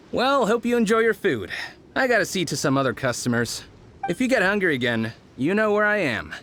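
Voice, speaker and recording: An actor playing a cheerful, obliging food vendor in a video game, with an upbeat, chatty delivery. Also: male voice, sits high, very animated, moderate pace, pushed and bright, a little hiss or hum, heavily acted. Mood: cheerful, welcoming. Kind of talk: video game character dialogue.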